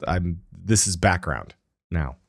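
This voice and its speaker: A man's voice: low voice, slow, vocal fry, slightly rough, dry recording, studio quality. A man hosting a casual gaming podcast, talking loosely with lots of fillers and restarts. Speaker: podcast host.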